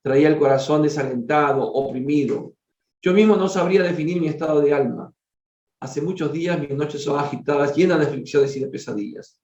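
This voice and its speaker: A man's voice: on the high side, warm tone, far from the mic, close to an everyday voice. A man reading the part of a troubled, weary father in a spiritual storybook, earnest and formal. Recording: dull, roomy lo-fi recording.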